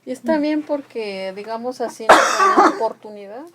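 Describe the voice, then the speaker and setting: A woman's voice: on the high side, expressive, normal speed, very noisy. An older woman from the Mixe region, a grandmother, talking animatedly and emphatically as a guest on a radio program. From Mixe region of Oaxaca, Mexico. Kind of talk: conversational radio talk.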